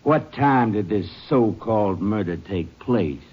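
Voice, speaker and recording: A man acting a short-tempered, heavyset rancher under suspicion in a radio western, gruff, impatient and evasive. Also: lowish voice, animated, a little slow. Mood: guarded, defiant. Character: edgy, defiant fat male rancher, short-tempered suspect. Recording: muffled, hissy old-time radio recording.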